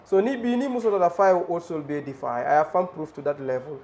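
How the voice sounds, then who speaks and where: A man's voice: fairly high pitch, fairly quick, a little mellow, slight background noise. A man hosting a TV interview, affirming his guest and mixing local phrases into his English. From The Gambia. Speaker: TV host. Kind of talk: live TV interview.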